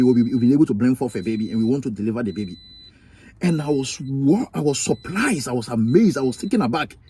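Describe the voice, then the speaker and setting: Emphatic Ghanaian man, husky and mellow. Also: medium pitch. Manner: emphatic, repetitive, preachy storytelling. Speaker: vlogger. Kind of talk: personal story vlog.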